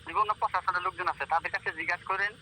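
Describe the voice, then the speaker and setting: A man's voice: sits high, fairly even intonation, rapid-fire, sharp tone. A man with a Bangladeshi accent speaking earnestly and insistently on a phone call, defending himself. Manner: earnest, insistent, addresses listener as brother. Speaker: local journalist. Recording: phone call with faint noise.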